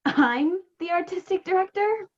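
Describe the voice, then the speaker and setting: Young woman playing pompous artistic director, high voice, exasperated, comedy radio play, muffled video-call sound. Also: expressive, a little slow. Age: young adult. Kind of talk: scripted comedy stage acting. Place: room at home. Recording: dull, lo-fi video call audio.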